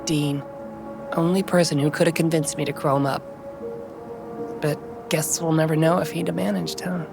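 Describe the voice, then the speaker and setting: An actress playing a tough, candid street racer and mechanic in a video game, casual and plain-spoken. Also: female voice, low voice, steady tone, moderate pace, creaky. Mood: wistful, confiding. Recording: loud music and engines behind.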